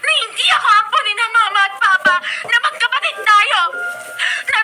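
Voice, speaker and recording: Shrill, distraught young Filipino sister. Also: female voice, a little fast. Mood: anguished, furious. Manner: shouting, insistent, melodramatic. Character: distraught, furious young adopted sister demanding the truth. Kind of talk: radio drama acting. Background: loud dramatic music.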